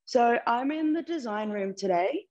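A woman's voice: a little high, lively intonation, unhurried, a little mellow, lo-fi sound. A young Australian woman giving a casual, informative tour as a student guide. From Mildura, Victoria, Australia. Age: young adult. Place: large studio room.

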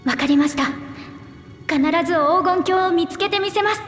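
Petite young woman scholar, high voice, formal, earnest, determined, game cutscene, echoey with music. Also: normal speed, fairly bright tone. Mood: resolute, grateful. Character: small, polite, determined young female scholar envoy. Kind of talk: video game voice acting. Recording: echoey hall reverb, music behind.

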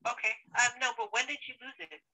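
A woman's voice: fairly high pitch, fast, vocal fry, sharp tone. A woman taking a customer service call, polite and a little hesitant, heard over a phone. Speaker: casino phone operator.

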